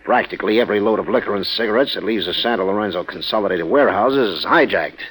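A man acting a brisk, self-important civic chairman in a 1940s radio drama, with a husky voice and formal, emphatic delivery. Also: fairly low pitch, a bit bright, a little hiss or hum, natural voice, rough recording. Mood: indignant, emphatic.

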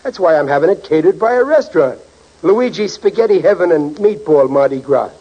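Casting: bumbling, boastful New husband, highish animated voice, old muffled radio comedy. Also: male voice, a little fast. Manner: flustered stammering, boastful. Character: blustering, bumbling working-class husband and father. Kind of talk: 1940s radio comedy acting. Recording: muffled, hissy 1940s radio recording.

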